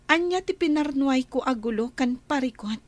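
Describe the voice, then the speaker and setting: A sensible, caring young woman on staff, with a high voice and an Ilocano accent. She speaks respectfully, in radio-drama style. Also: lively intonation, moderate pace, no echo. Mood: indignant. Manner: respectful, reassuring. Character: caring, sensible young female employee advising her boss.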